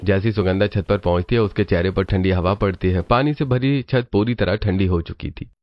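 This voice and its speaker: A man narrates a scripted story in a low voice. The recording is a little dull and lo-fi, as if the top end were trimmed. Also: a little fast, slight background noise.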